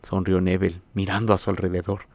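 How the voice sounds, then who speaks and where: Low-voiced man narrating an audiobook. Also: monotone, a little mellow, no echo. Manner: measured, literary storytelling.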